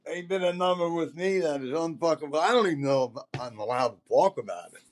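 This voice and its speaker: A man's voice: a little high, animated, a little fast, a little hiss or hum. Older man spinning rambling, salty stories. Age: older, around 70s. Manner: rambling, salty-tongued, emphatic. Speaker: podcast host telling stories as a former concert promoter. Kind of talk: casual anecdotal storytelling.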